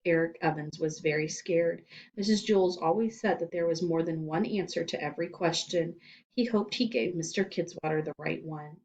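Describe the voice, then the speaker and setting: A woman's voice: low voice, moderate pace, darkish tone. A woman narrating a children's storybook expressively, in a dull lo-fi recording. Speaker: story reader.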